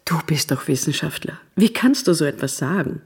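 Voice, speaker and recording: An actress playing a sceptical, worried, exasperated woman in a radio drama, husky and challenging. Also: low-pitched, lively intonation, moderate pace, a little mellow, full character voice. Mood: alarmed. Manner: challenging, incredulous.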